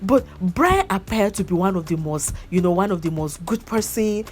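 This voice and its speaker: A woman's voice: medium pitch, expressive, moderate pace, darkish tone. A young woman chatting casually about a movie, repeating herself and breaking into laughs. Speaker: movie reviewer. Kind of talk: casual movie review chat.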